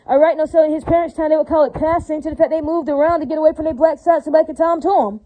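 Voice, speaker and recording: A woman's voice: high voice, steady tone, rapid-fire, dark tone, low-quality recording. A woman talking to her viewers in a rambling unscripted monologue, repeating herself, leaning on fillers and often asking if they agree. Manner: repetitive, filler-heavy, keeps asking listeners if they agree. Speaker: vlogger addressing viewers.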